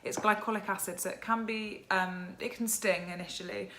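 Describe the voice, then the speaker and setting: A young woman with a croaky voice chatting casually to camera about her skincare routine, filling her talk with "like" and "um". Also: mid-range voice, fairly even intonation, moderate pace, pushed and bright, slight background noise. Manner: chatty, lots of "like" and "um". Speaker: skincare vlogger. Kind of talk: casual product chat to camera.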